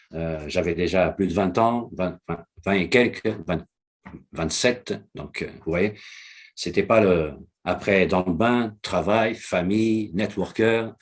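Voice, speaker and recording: Low husky-voiced Portuguese-native man presenting. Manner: repeats key phrases, checks in with listeners often. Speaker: business leader presenting to his network. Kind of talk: motivational online presentation.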